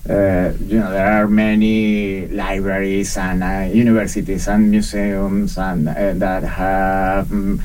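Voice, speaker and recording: Slow, low-voiced man from Venezuela. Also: level tone, a little mellow. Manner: hesitant, many fillers and restarts. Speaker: guest, documentary researcher and professor. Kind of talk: interview answers. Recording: slightly distant mic with faint background noise.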